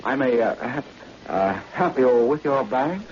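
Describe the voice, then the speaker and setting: An actor playing a servile, stuttering Chinese assassin in halting, formal English, in 1940s radio drama style. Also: male voice, medium pitch, animated, close to an everyday voice. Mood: obsequious. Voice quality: stammering. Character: stuttering, servile Chinese man, secretly a quiet knife-wielding assassin. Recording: muffled, noisy old-time radio recording.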